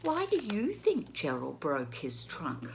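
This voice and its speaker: Slow, lively woman reading to kids. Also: deep voice, gravelly, soft background music. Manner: gentle, slow, asks the listener questions. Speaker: teacher reading to children. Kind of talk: children's read-aloud lesson.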